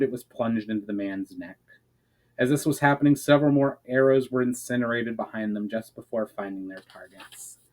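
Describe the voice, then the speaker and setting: A man's voice: medium pitch, a little mellow, low-quality recording. A man narrating a fantasy story as a dramatic reading, stressing the starts of phrases and letting the ends fall away, with the odd stumble. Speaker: writer reading own fantasy chapter. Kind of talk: dramatic audiobook-style narration.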